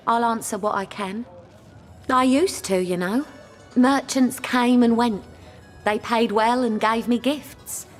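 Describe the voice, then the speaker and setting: A woman playing a dramatic, genteel courtesan and damsel in a video game, with a theatrical, mannered delivery. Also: high voice. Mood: wistful. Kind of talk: video game character acting. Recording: reverberant hall sound, music underneath.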